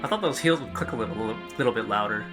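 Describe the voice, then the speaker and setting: Very low-voiced woman commenting on gameplay. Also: expressive, racing. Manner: wry, thinking aloud. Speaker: game streamer. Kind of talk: casual playthrough commentary. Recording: loud game music behind.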